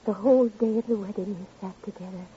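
An actress playing a frail, unhinged spinster with a brittle, evasive, menacing manner in a vintage radio drama. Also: female voice, medium pitch, quick pace, dark tone, close to an everyday voice. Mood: defensive, unhinged. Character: frail, unhinged spinster who killed her sister, defensive, eerie. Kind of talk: 1940s-50s radio drama acting. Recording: dull old radio recording, faint noise.

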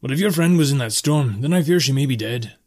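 A jovial, stout tavern keeper from a fantasy audiobook, acted by a male narrator. His voice is pitched up and hearty, and he speaks in exclamations like a welcoming host. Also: animated, quick pace, darkish tone, lightly acted. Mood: sympathetic. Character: hearty, tall, stout bartender of a northern town, friendly. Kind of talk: fantasy audiobook character dialogue.

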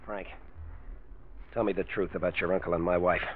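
An actor playing a grim, suspicious husband, terse and intense, in old-time radio drama style. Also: male voice, low voice, fairly even intonation, fairly quick, close to an everyday voice. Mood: suspicious, demanding. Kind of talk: 1940s-50s radio drama acting. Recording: dull, noisy vintage radio recording.

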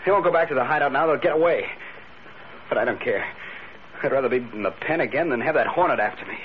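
An actor playing a frightened small-time crook turned informer in a 1940s radio crime drama. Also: male voice, medium pitch, animated, fast talker, slight background noise, natural voice. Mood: nervous, defeated. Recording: muffled old radio recording.

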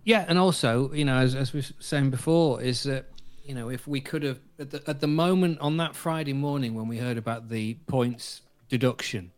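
A man on a football podcast panel in a studio, talking casually and building his points in a measured, repetitive way. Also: mid-range voice, dry recording.

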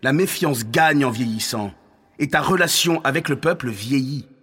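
An actor playing an insistent, scheming counsellor to a Roman ruler in a French audio drama, in a reverberant hall. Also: male voice, mid-range voice, normal speed, a bit bright, breathy edge, soft background music. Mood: insistent, indignant. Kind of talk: French audio fiction acting.

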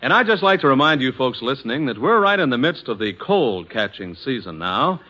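A man's voice: mid-range voice, very animated, brisk, pushed and bright. A man announcing a sponsor's message in the style of 1940s radio advertising. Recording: dull vintage radio broadcast recording.

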